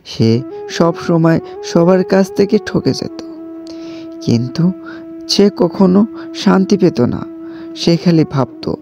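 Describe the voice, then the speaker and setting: A man's voice: high-pitched, animated, takes it slowly, vocal fry, pauses often. A man narrating a scripted children's story. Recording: dull audio, faint music.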